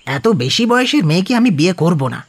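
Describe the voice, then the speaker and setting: Radio play: haughty middle-aged suitor, husky, very swingy delivery, halting and self-important. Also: male voice, high-pitched, big pitch swings, heavily acted. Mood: haughty, blunt. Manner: haughty, hesitant, drops English phrases. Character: pompous well-off suitor, man in late thirties or older.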